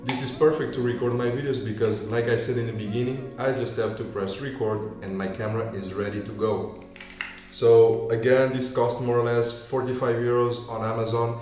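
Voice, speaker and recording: A deep-voiced man casually walking viewers through gear as a video creator, with non-native English phrasing. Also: flat delivery, moderate pace, a little mellow. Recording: echoey room, far from mic, music behind. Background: music.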